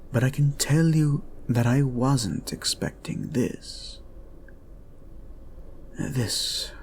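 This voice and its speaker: Casting: male actor as sinister, possessive hypnotherapist for audio drama; hushed, deliberate, creaky murmur and whispers, calmly controlling, faint noise behind. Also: on the low side, heavily acted. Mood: intrigued. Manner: soft, breathy, deliberate murmur often dropping into whispers. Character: creepy, obsessive male hypnotist therapist, calm and controlling. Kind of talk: slow guided-meditation hypnosis roleplay, audio drama.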